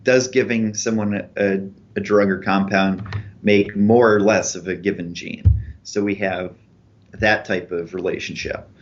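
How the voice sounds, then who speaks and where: Guest researcher, man, lowish voice, steady methodical explainer, muffled lo-fi audio. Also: unhurried. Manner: clear, methodical explaining with examples. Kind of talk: explanatory interview answers. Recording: dull lo-fi podcast audio.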